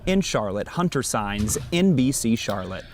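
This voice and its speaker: A man's voice: medium pitch, big pitch swings, unhurried. A man narrating a scripted television news report as a field reporter. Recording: faint noise, replayed TV news audio.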